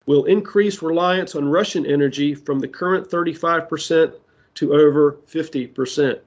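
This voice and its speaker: A man preaching a sermon that ties prophecy to current events, speaking deliberately with side remarks, his voice giving out. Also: on the high side, dull audio. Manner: slow, deliberate delivery with asides. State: losing his voice.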